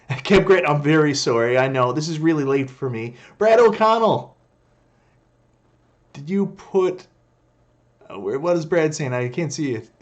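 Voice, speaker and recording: A man's voice: on the high side, animated, unhurried, pauses often. Chatty Canadian man streaming late. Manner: chatty, greets viewers by name, self-deprecating. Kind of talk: casual live stream chat.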